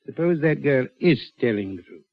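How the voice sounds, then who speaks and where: Actor as muffled, probing gentleman. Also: mid-range voice, animated, lightly acted. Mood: probing, grave. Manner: probing, deliberate, rhetorical. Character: big stout gentleman, sceptical, compassionate, probing older man. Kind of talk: vintage radio drama acting. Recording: muffled, dry old radio recording.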